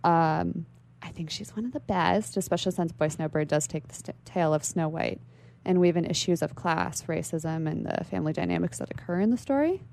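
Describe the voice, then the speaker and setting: Lady guest on a book radio show, lowish creaky voice, talks bookish and articulate. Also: fairly quick, darkish tone, slight background noise. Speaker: interview guest. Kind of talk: literary discussion in a radio interview.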